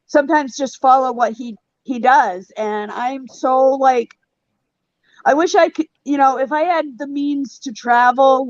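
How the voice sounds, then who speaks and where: A woman speaks slowly and with feeling as a guest, indignant at the neglect she describes. Her voice sits a little high. The audio is dry and somewhat muffled. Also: dry recording. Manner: heartfelt, indignant. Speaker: guest, Gold Star mother. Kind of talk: casual panel talk.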